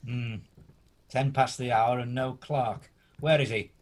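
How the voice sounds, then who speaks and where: A man playing old Ebenezer Scrooge, a gruff miser turned benefactor, in an amateur radio play, with a husky, deliberate, theatrical delivery. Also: medium pitch, steady tone, very noisy, close to an everyday voice. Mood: stern.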